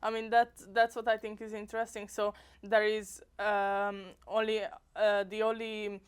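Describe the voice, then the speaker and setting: A woman's voice: medium pitch, monotone, a little slow, smooth. A young woman interviewing a teacher, chatty and a little hesitant. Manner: chatty, hesitant, with small laughs. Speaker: student interviewer. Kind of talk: casual interview.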